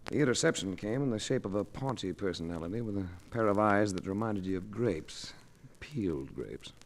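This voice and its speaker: An American actor playing a suave, wry private investigator, narrating in cool, dry 1950s hardboiled radio style. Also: male voice, fairly low pitch, expressive, moderate pace. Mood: dry narration. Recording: slightly noisy old radio recording.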